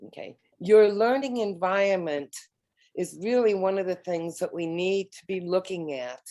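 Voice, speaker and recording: A woman panelist in an online round table, speaking haltingly with repeated words and many fillers. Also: on the low side, dark tone. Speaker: educator and panelist. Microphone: video call.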